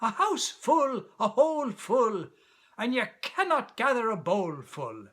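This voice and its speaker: A man's voice: extremely high pitch, big pitch swings, slow pace, fairly bright tone, voice pushed up, heavily acted. A man voicing a cheeky little red squirrel in a children's storybook, chanting riddles in an impertinent, teasing sing-song. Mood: taunting.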